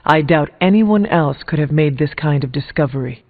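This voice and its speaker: An actor playing a stoic, guarded warrior in a video game, speaking in a formal, reserved and deliberate way. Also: male voice, a little high, expressive, dry recording. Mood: reserved, courteous. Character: stoic, guarded male demon-hunting warrior, formal and grave. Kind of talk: scripted video game character dialogue. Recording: tinny band-limited game audio over music.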